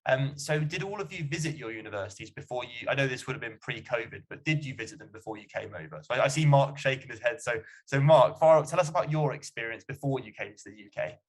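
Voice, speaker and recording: A man's voice: medium pitch, expressive, quick pace, hardly any pauses. A man hosting a student question-and-answer panel in a conversational, encouraging way. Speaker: panel host.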